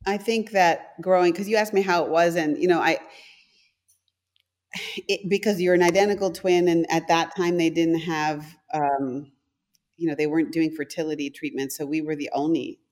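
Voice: mid-range voice, fairly even intonation, normal speed, darkish tone. A speaker in their fifties, raised in Canada with German as a first language, talking directly through long stories with many restarts as an interview guest. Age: 50s. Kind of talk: casual interview talk.

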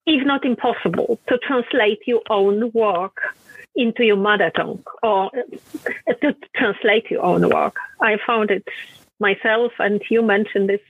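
A woman moderating a writers' panel, a native Polish speaker talking in a warm, hesitant way over a video call. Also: a little high, slightly rough, dry recording. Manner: warm, hesitant, thinking aloud. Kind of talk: casual chat. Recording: dry, phone-like thin sound.

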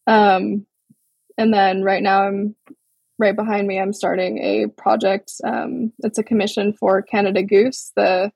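A young adult woman from Hawaii, a guest artist speaking in a relaxed, thoughtful way with many pauses and restarts. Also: medium pitch, creaky, breathy edge, no echo. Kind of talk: casual interview over a call.

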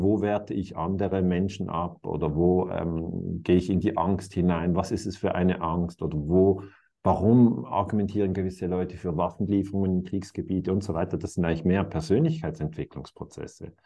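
A man's voice: low voice, steady tone, normal speed, creaky, warm tone. A man in his fifties talking reflectively in conversation, musing aloud and chuckling now and then. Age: 50s.

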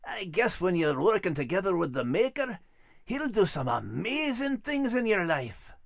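A man's voice: high voice, very animated, moderate pace, creaky, no echo, clean studio sound, full character voice. An actor playing a cheerful, humble male dog who co-hosts a story podcast, with a Scottish accent and folksy, enthusiastic chat. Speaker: podcast co-host in character. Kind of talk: chatty in-character banter.

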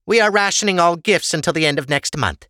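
An unruffled, officious woman clerk from a dystopian audiobook, acted by a man. Her voice is pitched high and bright, dealing out rations with an unvarying businesslike manner. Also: fairly quick, no echo, studio quality. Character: unruffled female official rationing gifts at a market.

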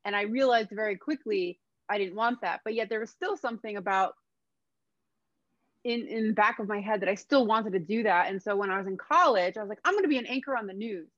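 A woman's voice: mid-range voice, brisk, a bit bright, no room sound. Jersey woman guest, chatty, laughs a lot, voice kinda dull and muffled, dry. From New Jersey, US. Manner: chatty, laughs easily, acts out her past self in quotes. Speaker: guest, nutrition coach. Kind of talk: casual interview chat.

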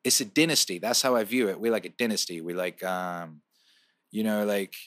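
A man's voice: lowish voice, normal speed, a bit bright, no echo, clean studio sound. A man from the UK who grew up in Wales, hosting a livestream and reading out viewer questions in a loose, profane, rambling way. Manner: loose and profane, riffs and repeats himself. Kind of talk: casual livestream chat.

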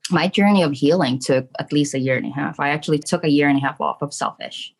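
A woman's voice: deep voice, fast talker, slightly rough. A middle-aged woman from the Philippines telling her personal story as an interview guest, candid and emphatic, often checking in with the listener. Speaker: podcast guest, trauma coach and spiritual medium.

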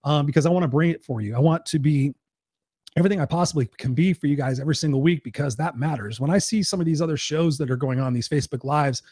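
Male live-stream host and life coach, hoarse, pitched a bit high, profane and rambling, dry sound. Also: quick pace, darkish tone, no echo. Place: home.

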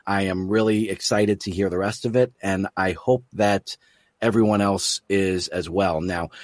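A man with a fairly low voice hosts an interview show. He speaks effusively and appreciatively. The recording is dry and close, with very little room sound. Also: no room sound. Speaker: podcast host and producer. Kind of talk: interview hosting.

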